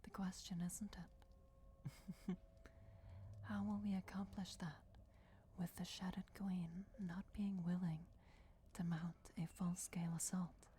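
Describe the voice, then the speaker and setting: A solemn, commanding vampire goddess, acted by a woman whispers in a low, soft, mellow voice for a fantasy ASMR roleplay. She speaks very slowly and deliberately, with long dramatic pauses, ruthless yet motherly. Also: level tone, a little hiss or hum. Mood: conspiratorial, commanding. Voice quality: breathy, whispered. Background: crypt ambience.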